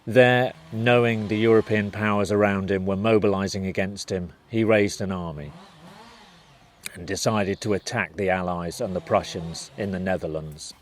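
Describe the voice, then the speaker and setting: A man's voice: low-pitched, fairly even intonation, normal speed, slight background noise. A man reading scripted narration for a historical documentary.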